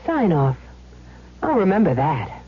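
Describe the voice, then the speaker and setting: An actress playing a complaining, curious customer in a 1970s radio commercial. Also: female voice, lowish voice, sing-song, unhurried, over music. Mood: curious, impressed. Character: complaining, curious sinus sufferer in an advertisement. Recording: dull lo-fi 1970s radio recording.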